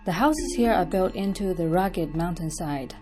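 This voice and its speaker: A woman giving scripted travel narration in an even, informative tone. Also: lowish voice, moderate pace, music in the background.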